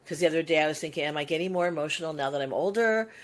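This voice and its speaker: A woman in her sixties with a notably low voice talks through her thoughts in long, winding sentences. She muses reflectively, in a casual podcast chat. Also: rapid-fire. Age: 60s. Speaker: podcast co-host.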